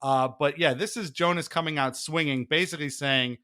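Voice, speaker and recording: Bright-voiced man hosting with theatrical flair. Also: on the high side, brisk. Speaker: podcast host. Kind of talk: mock-formal dramatic reading and banter.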